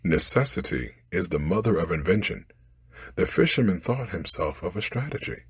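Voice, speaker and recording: A man with a low, very breathy voice reads a bedtime story as storyteller. His delivery is soft ASMR, close to the mic, with a dry sound. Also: lively intonation, normal speed, no echo.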